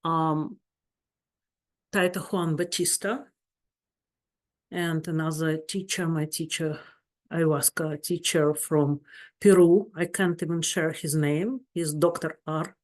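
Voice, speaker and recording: Woman therapist, Russian accent, non-native English, unhurried, earnest, presenting a webinar. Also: low voice, fairly even intonation. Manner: slow, searching for words, warm and earnest.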